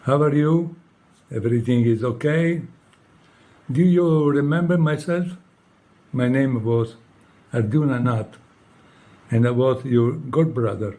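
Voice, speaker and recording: A man with an Italian accent, speaking halting English as a second language, making a direct, reproachful appeal to an old acquaintance and ending his points with questioning tags. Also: mid-range voice, mellow. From Italy. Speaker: former devotee addressing a leader. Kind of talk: direct personal appeal to camera.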